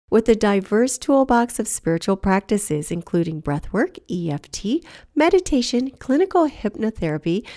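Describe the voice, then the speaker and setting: A woman's voice: mid-range voice, a little mellow, no room sound, clean studio sound. A woman hosting a podcast, a little sniffly from a cold, who reads an earnest, enthusiastic introduction and then moves into casual interview chat. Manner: earnest, enthusiastic, with a few fillers and restarts. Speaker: podcast host.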